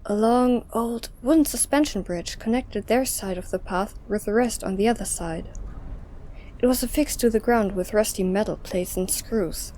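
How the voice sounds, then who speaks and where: A woman's voice: mid-range voice, normal speed, warm tone. A woman narrator reading scripted story narration for an audio drama.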